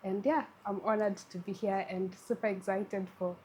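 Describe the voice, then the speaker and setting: A woman with a Kenyan accent speaks as a guest in an interview at a middle pitch. She talks in a friendly way, often restarting her phrases. Faint hiss runs underneath. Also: fairly even intonation, normal speed, mellow. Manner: friendly, with frequent restarts and fillers. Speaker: guest, tech community lead. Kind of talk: conversational interview.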